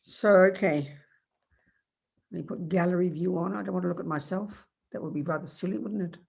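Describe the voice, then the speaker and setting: A meditation teacher with a cold, sounding a bit croaky, explaining in a rambling, self-correcting way over a video call. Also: female voice, on the low side, brisk, a little mellow.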